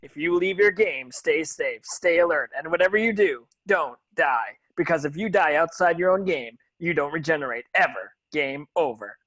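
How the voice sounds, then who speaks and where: A man's voice: on the high side, expressive, moderate pace, hardly any pauses, fairly bright tone, poor audio. A man playing an earnest video-game hero delivering a safety announcement in a script reading. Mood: earnest warning. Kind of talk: public service announcement.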